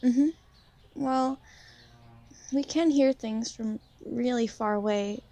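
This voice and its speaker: A woman playing a shy, gentle young vampire in an ASMR roleplay, speaking softly and hesitantly to the listener. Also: fairly high pitch. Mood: calm, explaining. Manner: hesitant, soft-spoken, gently halting. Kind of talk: ASMR roleplay, soft intimate talk to the listener.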